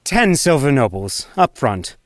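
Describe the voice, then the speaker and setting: A cheeky street minstrel for a storybook drama. He is sly and casual, with teasing false deference and a lively, swinging pitch. The recording is very dry. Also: measured pace, no room sound. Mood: slyly insistent. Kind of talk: full-cast audiobook acting.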